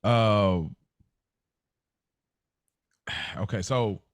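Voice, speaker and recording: A man's voice: fairly low pitch, steady tone, extremely slow, smooth, no room sound. A man co-hosting a podcast in casual conversation, explaining his thoughts at length in a reflective way. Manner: reflective, explains at length with "like" and "you know what I mean". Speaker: podcast co-host.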